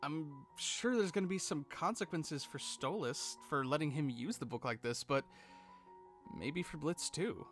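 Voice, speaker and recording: A man's voice: on the high side, measured pace, a bit bright. A man co-hosting a scripted commentary, casual and sweary in his asides, with music playing behind him. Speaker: video co-host. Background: music bed.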